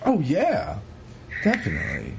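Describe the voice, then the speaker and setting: A man co-hosting a podcast, chatting casually in an animated, rambling, sweary way as he retells stories. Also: mid-range voice, unhurried, gravelly, a little hiss or hum, rough recording. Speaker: podcast co-host. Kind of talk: casual chat retelling a historical story.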